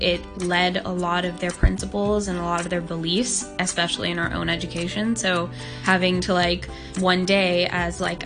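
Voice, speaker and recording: A nineteen-year-old woman from Hong Kong talks in a slightly low voice. She answers earnestly and thoughtfully, filling her sentences with "like." Faint music plays softly beneath her. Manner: earnest, thoughtful, many "like" fillers. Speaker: podcast guest. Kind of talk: casual interview answers.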